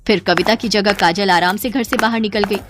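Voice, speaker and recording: A woman narrating a cartoon story in a dramatic, expressive scripted way, with faint music behind. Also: mid-range voice, a little fast.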